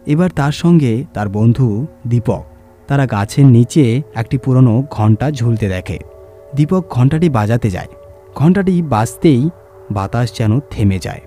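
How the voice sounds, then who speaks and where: A man narrates a village horror tale with dramatic, scripted delivery. His voice is mid-pitched and mellow, with faint music playing underneath. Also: expressive, a little slow. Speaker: story reader and narrator.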